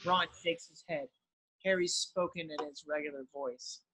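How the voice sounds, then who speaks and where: A man's voice: a little high, steady tone, moderate pace, sharp tone, slight background noise, rough recording. A man narrating, reading the stage directions of a screenplay in an amateur read-through.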